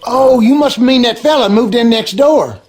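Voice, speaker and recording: An actor playing a gossipy, superstitious backwoods husband in a video game, with a rural Louisiana accent and folksy, colloquial talk. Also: male voice, very high voice, moderate pace, a little mellow. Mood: wary, gossipy. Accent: rural Louisiana Southern American. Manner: folksy, drawling, colloquial storyteller. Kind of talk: animated game character dialogue.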